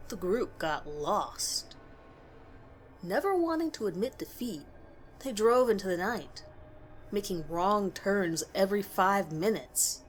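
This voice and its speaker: A woman reading a scripted first-person horror story in a measured storytelling way with expressive emphasis. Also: mid-range voice, slight background noise.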